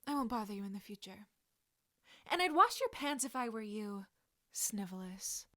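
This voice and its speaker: A woman's voice: medium pitch, very animated, moderate pace, bright, forceful tone, dry recording, clean studio sound. A woman voicing a proud, hurt teenage witch girl with a cutting, indignant manner and a slight tremor. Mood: cool, hurt.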